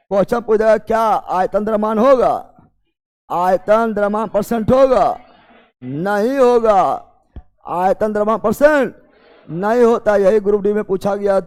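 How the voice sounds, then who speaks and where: Male teacher, high voice, Bihari accent, classroom lecture, repetitive drilling of terms, frequent check questions. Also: clean tone. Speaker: exam coaching teacher. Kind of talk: classroom chemistry lecture in exam coaching.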